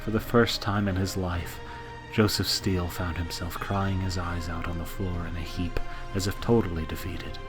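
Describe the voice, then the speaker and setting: A man's voice: low-pitched, steady tone, normal speed, over music, low-quality recording. A man giving dramatic, scripted narration for a horror-style audio drama.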